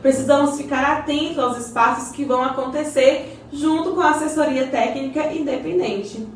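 A woman's voice: high-pitched, normal speed, natural voice. A woman playing an encouraging, well-informed community guide in a scripted radio soap, explaining things didactically. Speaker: character explaining community projects. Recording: very echoey, distant mic, faint noise.

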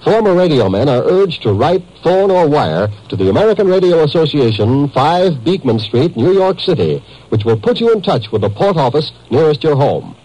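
A male announcer reads a scripted notice in 1950s radio style. His voice is mellow and fairly high for a man, rising and falling with lively emphasis. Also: moderate pace, few pauses, low-quality recording. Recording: vintage radio broadcast.

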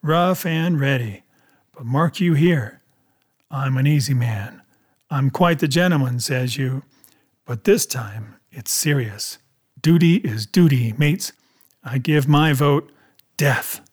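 A man's voice: mid-range voice, slow, breathy edge. A man voicing a cunning, commanding pirate cook with emphatic, rustic seafaring speech in an adventure audiobook. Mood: cold, emphatic.

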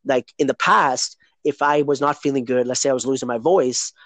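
A man's voice: mid-range voice, fairly quick, a bit bright, no echo. A man hosting a daily podcast, chatting casually in a rambling way and often tagging "right?" onto his points. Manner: rambling, keeps asking "right?". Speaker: podcast host.

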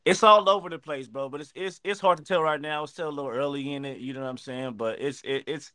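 A man's voice: a little high, fast, pushed and bright. A man co-hosting a podcast with casual, slangy banter, exclaiming and repeating himself. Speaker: podcast co-host.

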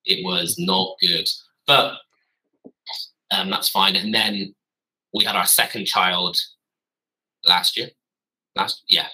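Husky-voiced man, rambling storyteller. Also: low-pitched, steady tone, slow, long pauses, sharp tone, rough recording. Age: 30s. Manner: rambling, self-correcting, wry. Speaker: podcast guest. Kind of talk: casual storytelling. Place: garden office.

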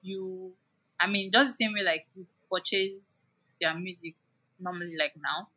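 A woman's voice: fairly low pitch, steady tone, normal speed, pushed and bright. A woman guest explaining things in a casual chat, with lots of "like" fillers.

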